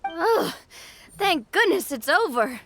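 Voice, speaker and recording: A woman voicing a playful, eager young female adventurer in a video game, expressive and encouraging. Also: extremely high pitch, slow pace, a little hiss or hum, heavily acted. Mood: amused.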